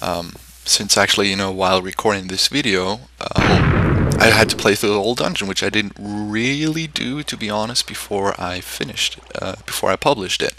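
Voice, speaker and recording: A young man from Switzerland, giving casual commentary over his own gameplay and rambling with frequent pauses. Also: low voice, sharp tone, a little hiss or hum. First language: German. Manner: rambling, many ums and restarts. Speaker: game video creator.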